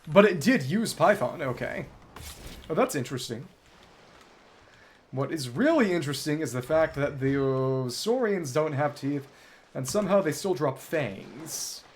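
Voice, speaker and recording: Animated male game streamer, noisy background. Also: fairly high pitch, measured pace. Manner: thinks aloud, bookish wording, digressive. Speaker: game livestreamer. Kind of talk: casual gameplay commentary, chatting with viewers.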